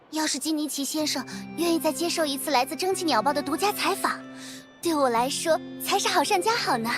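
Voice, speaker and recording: An eager young reporter, high-voiced. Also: female voice, animated, moderate pace, music in the background. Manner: chatty, courteous, bubbly. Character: young, eager, polite female newspaper reporter. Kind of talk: video game voice acting.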